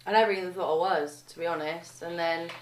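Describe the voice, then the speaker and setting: Echoey, low-voiced young woman, informal and hesitant. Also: fairly even intonation, fast talker, distant mic. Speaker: young mother being interviewed. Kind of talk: candid documentary interview. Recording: echoey room with faint background noise.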